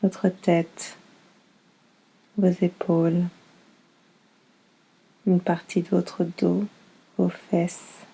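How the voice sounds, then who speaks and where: A woman leading a guided yoga nidra relaxation as instructor, soothing and unhurried, speaking in short phrases with pauses. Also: fairly low pitch, flat delivery, vocal fry, warm tone, husky, low-quality recording.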